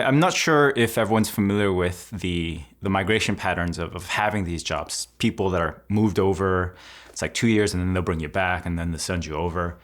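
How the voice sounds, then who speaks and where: A man, reflectively telling his life story in an interview, casual and thoughtful. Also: deep voice. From United States (Texas). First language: Korean. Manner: thoughtful, casual, with restarts and "like" fillers.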